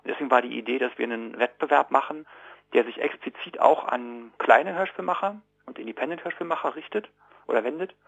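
A man with a husky, gravelly voice gives a spontaneous interview. He feels for his words as he goes, and the radio recording is dull and lo-fi. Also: medium pitch, fairly quick, fairly bright tone. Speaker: interviewee, association board member.

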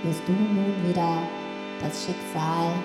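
A woman's voice: fairly low pitch, steady tone, takes it slowly. A woman playing a magic mirror from another dimension in an amateur audio drama, rambling and hesitant, with heavy reverb on the voice. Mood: solemn, ominous. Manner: hesitant, rambling delivery between solemn pronouncements. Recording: echoey long reverb, music behind.

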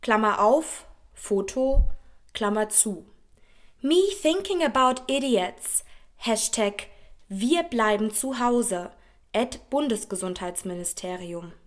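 A woman's voice: medium pitch, expressive, slow. An actress voicing a female social media poster in a radio play collage, reading in a matter-of-fact manner. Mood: deadpan.

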